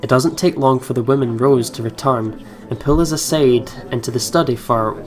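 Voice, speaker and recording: A man's voice: medium pitch, fairly even intonation, moderate pace. A man hosting a let's play, reading game narration aloud and adding casual commentary. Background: game music.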